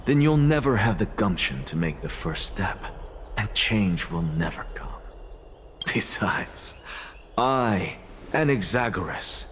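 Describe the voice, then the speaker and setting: Proud scholar professor, very low voice, lively swings in pitch, lecturing, music behind. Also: male voice, slight background noise. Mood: haughty. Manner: calm, lecturing, haughty. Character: proud, scheming male scholar professor, middle-aged. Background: game background music.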